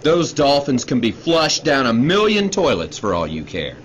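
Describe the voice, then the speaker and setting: Actor as a bitter, animated male scientist. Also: mid-range voice, moderate pace. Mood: indignant, sardonic. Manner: grave, sardonic, accusing. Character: bitter, sleepless male scientist, grimly vengeful. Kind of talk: radio drama acting. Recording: dull radio drama recording, faint noise.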